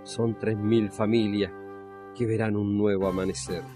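Un hombre que narra un radioteatro histórico con un guion. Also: male voice, lowish voice, little pitch movement, measured pace, loud music behind the voice. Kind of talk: scripted documentary-drama narration.

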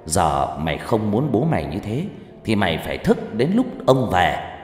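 A man's voice: fairly low pitch, sing-song, moderate pace, a little mellow, faint music behind. A man voicing an angry old village sorcerer who scolds and commands bluntly in a dramatized horror story. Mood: stern, urging. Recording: echoey hall reverb.

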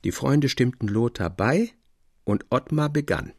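A man narrating a literary radio drama in a measured, formal storytelling manner. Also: mid-range voice, lively intonation, husky, no room sound, clean studio sound.

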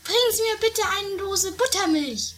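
A woman's voice: very high voice, animated, normal speed, fairly bright tone. A woman playing a bossy, quarrelsome member of a castle household in a comedy radio play, whiny and theatrical. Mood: fussy, peevish.

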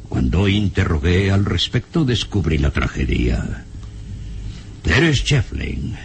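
Deep-voiced, slow, haunted professor. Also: male voice, gravelly, exaggerated voice. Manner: dramatic, halting, ominous. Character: grave, shaken male professor recounting dark castle secrets. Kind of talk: old radio serial acting. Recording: noisy old radio serial recording, rumble.

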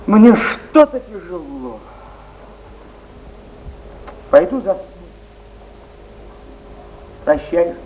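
A man's voice: sits high, very animated, slow pace, a little mellow, exaggerated voice. A man playing a lofty, melancholy artist in a period stage drama, declaiming theatrically. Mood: weary, lofty. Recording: echoey, muffled 1970s theatre recording, faint hiss.

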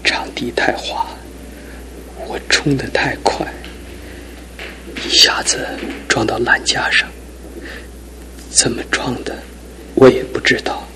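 A man's voice: medium pitch, pushed and bright, rough recording. An actor playing a young man injured in a fall, weak and despairing, in a radio drama with music behind. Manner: swings between faint murmurs and anguished cries.

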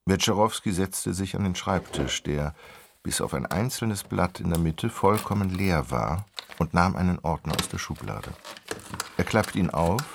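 A man narrating a radio play in a scripted literary style, with a deep, gravelly voice. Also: animated, unhurried, slight background noise.

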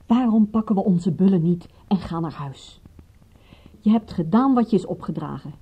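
An actress in a radio drama playing a private detective's shrewd, guarded assistant, with a dry, sardonic manner. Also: female voice, lowish voice, expressive, normal speed, husky. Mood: weary, persuasive. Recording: muffled, dull old radio recording.

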